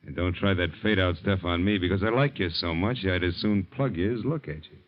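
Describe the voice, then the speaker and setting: A man playing a hard-boiled low-voiced detective. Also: fairly quick, natural voice. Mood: tough. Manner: blunt, hard-boiled slang. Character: tough, sharp-talking ex-police detective. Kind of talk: 1940s radio acting. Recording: muffled 1940s radio broadcast.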